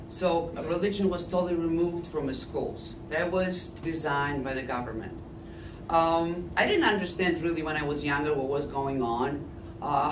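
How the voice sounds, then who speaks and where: Hoarse woman in her fifties with a Polish accent, speaking slowly and carefully; distant camcorder sound in an echoey room. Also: deep voice, noisy background. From Poland. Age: 50s. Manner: slow, deliberate speech with frequent restarts. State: hoarse, voice lowered by illness. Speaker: interviewee recounting life story. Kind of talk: oral history testimony. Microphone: Flip camcorder at a distance.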